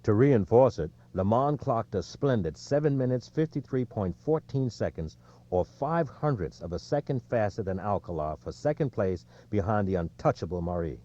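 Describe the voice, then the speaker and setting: A man reading a nonfiction sports audiobook in a measured storytelling way, with emphasis on the quotes. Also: fairly low pitch, animated, mellow, highs cut off. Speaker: audiobook narrator. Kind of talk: scripted nonfiction narration of a sports book.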